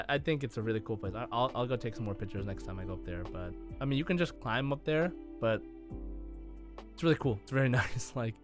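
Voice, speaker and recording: A man hosting a casual gaming podcast, chatting in a halting, rambling way. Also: on the low side. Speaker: podcast host.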